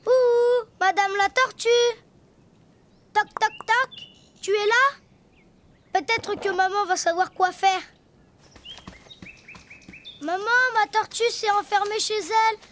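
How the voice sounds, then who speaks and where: A performer voicing a lively, imaginative little boy donkey in a children's cartoon, childlike and playful. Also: very high voice, takes it slowly, long pauses, a little hiss or hum, exaggerated voice. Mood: earnest. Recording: loud music behind.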